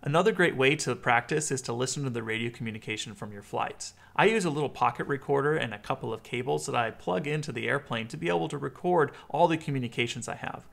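An American man in his thirties giving a clear instructional explainer as a video host. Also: medium pitch, fast talker, hardly any pauses, a bit bright.

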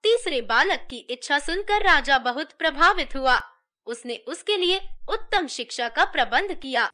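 A woman's voice: high-pitched, expressive, normal speed, sharp tone. A woman narrating a scripted children's story as a storyteller.

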